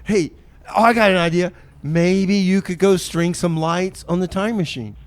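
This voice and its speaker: A man's voice: high-pitched, normal speed. A man hosting a community radio show with an easygoing, chuckling delivery in a radio studio. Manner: easygoing, chuckling, amused by his co-host. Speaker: radio DJ and host. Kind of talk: casual radio chat and announcements.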